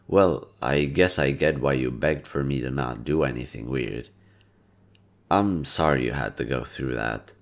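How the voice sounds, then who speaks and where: A young man in an audio roleplay, talking to a listener in a soft-spoken, laid-back and teasing way. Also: rumbling low, unhurried, vocal fry. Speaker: voice actor.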